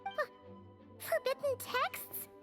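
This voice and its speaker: A woman voicing an excitable, curious little fairy companion in a video game, who talks about herself in the third person. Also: takes it slowly. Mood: startled. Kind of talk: game voice acting. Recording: soft game music underneath.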